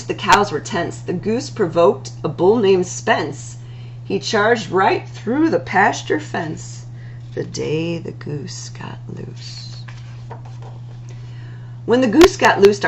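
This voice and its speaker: A woman reading rhyming picture books aloud as a storytime host, in an expressive, singsong delivery with drawn-out words. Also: mid-range voice, background noise.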